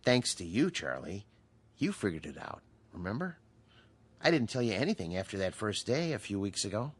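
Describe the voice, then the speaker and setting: An actor playing a calm, authoritative psychiatrist in a radio drama, speaking in a measured, explanatory way. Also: male voice, fairly low pitch, breathy edge, no echo. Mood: gentle, encouraging. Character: calm, authoritative male psychiatrist at a mental hospital.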